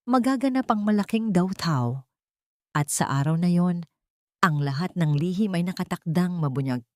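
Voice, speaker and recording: A woman narrates a melodramatic audio story in a scripted, theatrical style. Her voice sits fairly low, and the recording is close and dry. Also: a little mellow, no room sound.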